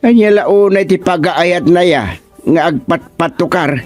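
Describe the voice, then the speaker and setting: A man's voice: high-pitched, expressive, a little slow, a little mellow, soft background music. A man with an Ilocano accent playing a village husband who handles neighbours' complaints in a radio comedy drama. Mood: mildly concerned.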